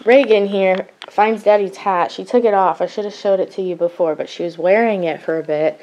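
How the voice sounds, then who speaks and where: A young woman with a mid-range voice talks casually to the camera as a mom vlogger. She rambles chattily as she narrates her day indoors at home. The recording is clearly noisy, with clicks. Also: medium pitch, fairly quick, darkish tone, dull audio. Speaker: family vlogger, mother of a baby.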